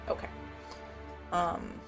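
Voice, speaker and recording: A woman giving casual commentary on a video game playthrough, musing aloud informally as she plays. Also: extremely slow. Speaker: gamer recording a playthrough. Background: game music.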